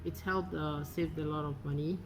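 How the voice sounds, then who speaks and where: A woman from Benin, a recent graduate, recounting her experience in a halting way with frequent uhs. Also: low-pitched, fairly even intonation, over music, poor audio. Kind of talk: personal testimony.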